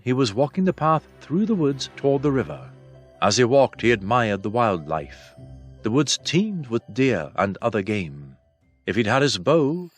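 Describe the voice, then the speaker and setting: Male narrator, expressive varied intonation, mid-range voice, scripted fantasy storytelling, music underneath. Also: medium pitch. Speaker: audiobook narrator. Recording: soft music behind the voice.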